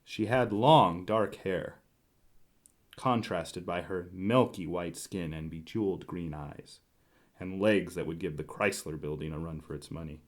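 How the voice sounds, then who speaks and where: A hard-boiled male private eye, played by a man, tells his noir story in a dry, clipped way. He is curt and wry, quick with a wisecrack and weary of the people around him. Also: low-pitched. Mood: wry, brooding. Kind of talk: noir radio drama acting and narration.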